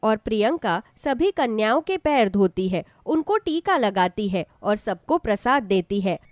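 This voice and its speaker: A woman's voice: mid-range voice, big pitch swings, measured pace, dry recording, studio quality. A woman narrating a scripted devotional story as a storyteller.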